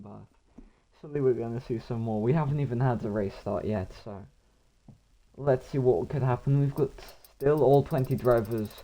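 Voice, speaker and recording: A young man commentating a live online racing league, hoarse with his voice nearly gone, rambling and talking to himself while he waits for action. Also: mid-range voice, warm tone, poor audio.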